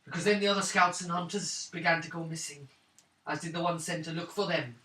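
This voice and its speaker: A man voicing a shaken, wounded young woman of a wandering clan in a tabletop roleplaying game, speaking haltingly and wearily. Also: on the high side, sharp tone. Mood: grave, shaken. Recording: roomy, hissy tabletop recording, distant mic.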